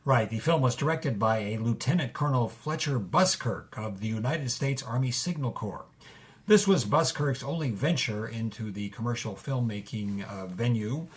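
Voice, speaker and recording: A man playing a dryly amused film expert who gives measured, deadpan mock-documentary commentary. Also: mid-range voice, lightly acted. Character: dryly amused male film expert recounting obscure movie history.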